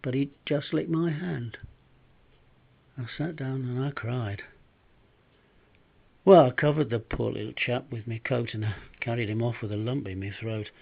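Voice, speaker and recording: An elderly man reciting a rhyming monologue as a humble, tender-hearted former down-and-out, plaintive and measured, with dramatic pauses. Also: mid-range voice, steady tone, vocal fry, phone-quality sound. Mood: tender, sorrowful. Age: 70s or older.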